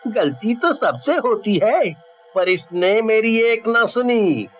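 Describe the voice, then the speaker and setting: A man's voice: high voice, animated, takes it slowly, lo-fi sound. A man voicing an earnest, emphatic village man in a cartoon morality tale. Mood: aggrieved. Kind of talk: Hindi cartoon story acting.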